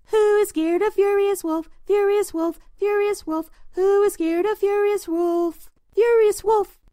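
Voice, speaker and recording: A defiant little pig from a children's tale, as a woman puts him on. The voice is very high and squealing, with a bouncy, sing-song swing to it. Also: sing-song, slow, dark tone, dry recording, clean studio sound, exaggerated voice. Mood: playful taunting. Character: defiant little storybook pigs, young brothers, singing tauntingly. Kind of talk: children's storybook character voice.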